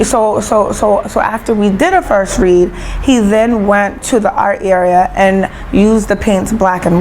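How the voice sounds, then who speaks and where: A woman's voice: medium pitch, a little mellow, slight background noise, poor audio. A woman explaining things as a teacher in an interview, often repeating syllables and words mid-sentence. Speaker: early-childhood teacher being interviewed.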